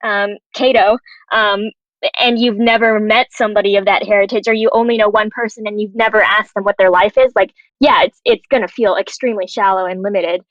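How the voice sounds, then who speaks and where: A woman's voice: mid-range voice, steady tone, brisk, no echo. A woman chatting casually as a panelist, informal and full of filler words, on slightly muffled audio. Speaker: panelist, television writer. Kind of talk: casual chat.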